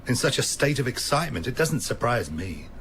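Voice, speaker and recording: An actor playing an assured male scientist who speaks in a measured, formal, reassuring way in a radio drama. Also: mid-range voice, very animated, a bit bright, slight background noise. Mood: assured, pleased.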